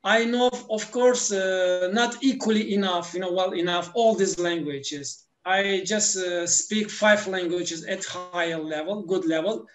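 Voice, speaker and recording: Guest, man, 40s; measured pace, halting with fillers; roomy echo on the voice. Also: sits high, fairly bright tone, faint music behind. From Turkey. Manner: hesitant, many fillers and laughs. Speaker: guest, language teacher. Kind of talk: casual interview talk.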